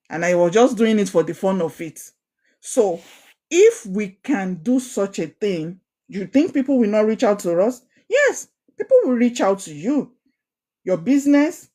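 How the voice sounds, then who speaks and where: A young Nigerian woman with a proud Ijesha accent leads an online coaching session for a women's group. She speaks in an animated, encouraging way and keeps repeating key phrases for emphasis. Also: medium pitch. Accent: Nigerian, Ijesha Yoruba. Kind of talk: online course talk.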